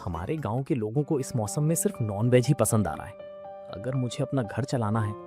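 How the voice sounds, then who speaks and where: A man's voice: medium pitch, a little fast, music in the background, close to an everyday voice. A man playing a humble, hardworking street food seller in a cartoon, polite, earnest and hopeful. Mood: earnest, determined.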